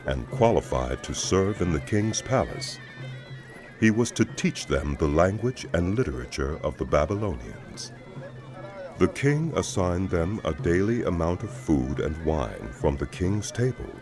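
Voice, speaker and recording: A male narrator with a profoundly deep voice tells scripture solemnly and dramatically. His intonation is lively within a measured storytelling style, and music plays clearly behind him.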